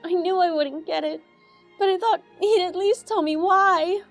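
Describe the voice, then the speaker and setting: A woman acts a little girl in a radio drama, her voice extremely high and childlike. She is earnest and plaintive, a doubting daughter who wants to hope, with faint music behind her. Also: normal speed. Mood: disappointed, doubting. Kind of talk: radio play acting.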